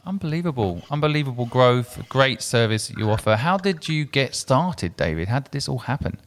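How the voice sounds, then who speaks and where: A man's voice: mid-range voice, sing-song, a little fast. A man hosting a podcast, reading an upbeat scripted intro and asking interview questions with enthusiastic praise. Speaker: podcast host.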